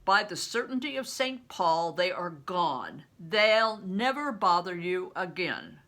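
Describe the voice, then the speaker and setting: An older woman voicing a big, blustering, roughly kind male travelling performer, emphatic, gruff and teasing, in a storybook reading. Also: on the low side, lively intonation, slow, a bit bright, exaggerated voice. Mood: blustering, assured.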